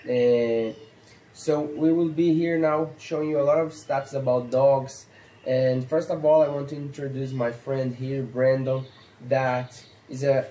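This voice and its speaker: A man in his thirties with a Brazilian accent, a stock dog trainer explaining training basics in halting, earnest English, seated on an outdoor patio. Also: medium pitch, dark tone, roomy sound, poor audio. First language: Portuguese. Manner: halting, earnest, non-native phrasing with fillers. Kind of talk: instructional talk to camera.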